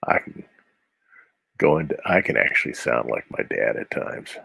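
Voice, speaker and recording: A man's voice: rumbling low, sing-song, moderate pace, creaky, pushed and bright, breathy edge, dry recording. A man with a Midwestern accent, a comic artist chatting casually and muttering to himself as he draws on a live stream. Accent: Midwestern American. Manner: mutters to himself between strokes, rambling asides. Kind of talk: casual live-stream commentary while drawing.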